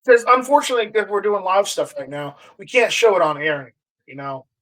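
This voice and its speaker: A man with a fairly high-pitched voice hosts a casual live stream. He moves between reading out comments and loose chat. His delivery is halting, with words often repeated as he goes. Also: bright, forceful tone.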